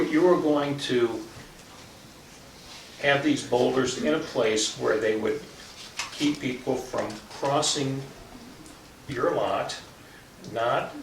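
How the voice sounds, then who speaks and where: Man in an echoey room, far off. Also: medium pitch. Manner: halting, deliberate, firm. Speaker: town board official. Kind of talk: public meeting discussion. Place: indoor public meeting room. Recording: distant mic, echoey meeting room, clear background noise.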